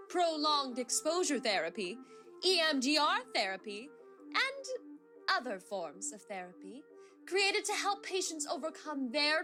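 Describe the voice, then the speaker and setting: Reassuring female promo announcer for radio drama, high and very animated, over music. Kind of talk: scripted commercial narration.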